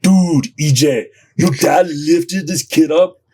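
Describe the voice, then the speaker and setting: A man voicing his young male friend with a heavy Persian accent, speaking in an excited, drawn-out way. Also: a little high, slightly rough, voice pushed up.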